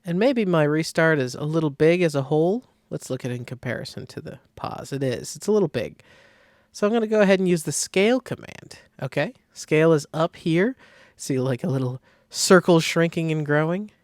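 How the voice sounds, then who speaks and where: A man giving a casual step-by-step software tutorial, thinking aloud informally as he demonstrates. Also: on the high side, animated, fairly quick, no room sound, studio quality. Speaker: design tutorial instructor.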